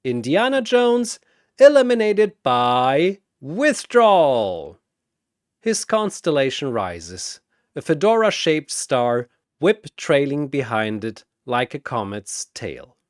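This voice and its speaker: A male narrator delivers dramatic scripted fiction. His voice is middle in pitch with very varied intonation, taking its time, and the sound is close and dry. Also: big pitch swings, slow pace, no echo.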